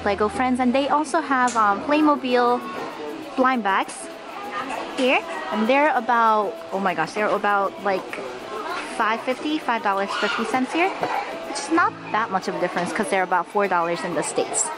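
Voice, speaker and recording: Excitable hoarse young woman vlogging toys. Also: fairly high pitch, normal speed, crowd noise. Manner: excitable, gasping, gushing over finds. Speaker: toy vlogger. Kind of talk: handheld toy-store vlog. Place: toy aisles inside a store. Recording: music playing underneath.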